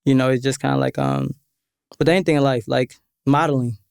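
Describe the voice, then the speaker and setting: A soft-spoken man talking as a musician guest in a laid-back podcast chat, often saying "exactly". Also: medium pitch, steady tone, brisk, gravelly, smooth, no echo, studio quality. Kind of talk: casual podcast interview.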